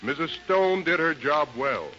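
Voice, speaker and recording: A man narrating a 1930s radio melodrama in a solemn, portentous, measured style. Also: fairly high pitch, expressive, pushed and bright, faint music behind. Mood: solemn, dramatic. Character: solemn, dramatic male storyteller of a radio melodrama. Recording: dull 1930s radio recording.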